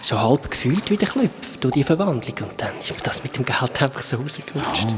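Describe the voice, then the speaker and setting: A man's voice: medium pitch, very animated, brisk, noisy background, close to an everyday voice. A man with a Swiss German accent playing a friendly shape-shifting creature from another world who patiently explains things in a children's radio play. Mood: kind, explaining.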